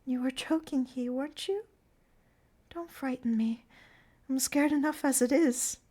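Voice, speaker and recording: A woman's voice: high voice, unhurried, mellow, pitched above the performer's own voice. A woman voicing a frightened, dying young circus girl who pleads in a breathless, childlike way.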